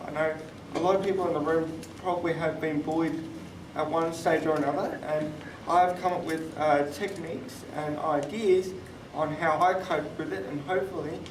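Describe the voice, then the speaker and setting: A man's voice: fairly high pitch, fairly even intonation, normal speed, few pauses. A man in his twenties giving an explanatory personal talk as a speaker on a live panel. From born in Thailand, moved to Australia. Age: 20s. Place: conference room, live event. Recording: roomy, distant mic, clear background noise.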